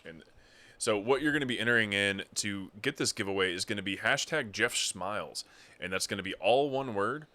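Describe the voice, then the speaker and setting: Male stream co-host, lowish voice with a bright edge, relaxed and chatty. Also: a little fast. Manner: relaxed, explains giveaway details with fillers. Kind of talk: casual livestream chat.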